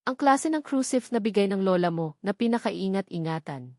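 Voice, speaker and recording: A woman narrator plays a steady young man stranded on an island. He sounds protective, earnest and reassuring, as in a radio romance. The recording is close and dry. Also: mid-range voice, a little fast, no echo, lightly acted.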